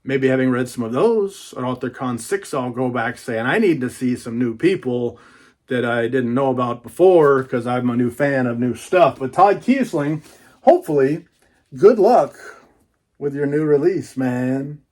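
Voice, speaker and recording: A man's voice: medium pitch, animated, measured pace, a little mellow. A man giving a casual, chatty unboxing monologue in a folksy, colloquial way, repeating phrases for emphasis. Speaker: book reviewer and YouTube host.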